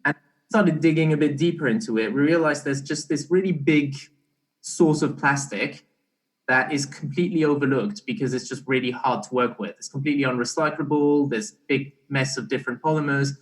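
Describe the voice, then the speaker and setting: A young man talks as a guest in a casual interview. His voice has an average male pitch, and he explains things haltingly, often restarting a phrase midway. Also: rough recording. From Belgian-born, partly raised in Barbados. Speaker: interview guest, startup co-founder.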